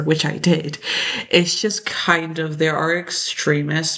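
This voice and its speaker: A vlogger rambling to camera in a gravelly, fry-laced voice that sits at a middle pitch. The talk drifts from one idea to the next and often trails off mid-thought. It sounds loose and unscripted. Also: fairly bright tone. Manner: rambling, jumps between topics, trails off. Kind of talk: casual rambling monologue to camera.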